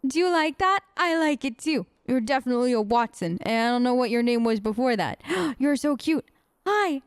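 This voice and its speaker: A woman's voice: fairly high pitch, a little fast, smooth, no echo, clean studio sound. A woman playing a video game and chatting casually in character, in a gushing, playful manner. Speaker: let's play host, role-playing her character.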